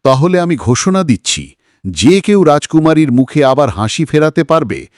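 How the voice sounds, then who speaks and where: Expressive, earnest cartoon king acted by a man. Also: on the high side, normal speed, dry recording, clean studio sound. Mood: resolute. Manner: earnest, regal, declarative. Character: worried, loving king and father, middle-aged. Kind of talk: cartoon fairy-tale acting.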